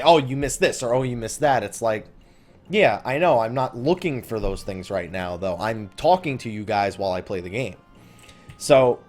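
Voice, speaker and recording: A man giving casual, rambling let's play commentary, informal and often correcting himself as he drifts into side thoughts. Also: medium pitch. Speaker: gaming video host.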